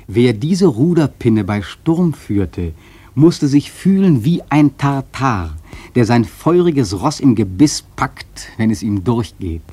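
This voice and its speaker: An actor playing a thoughtful sailor who recounts his voyage in a radio play, measured and earnest. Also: medium pitch, mellow, breathy edge, no room sound. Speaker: narrator and protagonist. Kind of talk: literary radio play narration.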